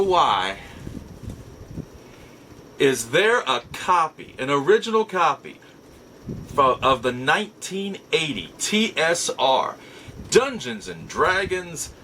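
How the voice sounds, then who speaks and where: A man with a fairly high, very animated voice hosts a casual vlog. He talks in a playful, theatrical way, stretching words out for emphasis. The delivery is bright and forceful, over faint background noise. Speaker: host of a nostalgia collectibles show. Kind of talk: casual scripted-feel vlog monologue.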